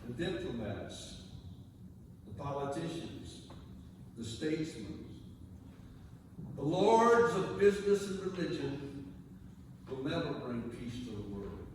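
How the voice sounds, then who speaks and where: Heavily reverberant noisy recording, man, solemn church reader, oratorical, dramatic emphasis, deliberate slow delivery. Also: a little high, sing-song, a little mellow. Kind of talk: solemn sacred reading, oratorical sermon delivery. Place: large reverberant church indoors. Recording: very echoey church hall, far mic, noisy.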